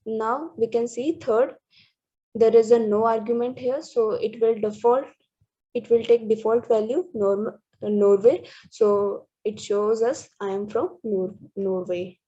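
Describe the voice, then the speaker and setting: A woman reads through programming slides, stopping often and restarting words. Her voice sits fairly high and sounds husky and mellow. The recording is dull and lo-fi. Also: fairly even intonation. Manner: halting, restarts words, many fillers. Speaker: student presenter.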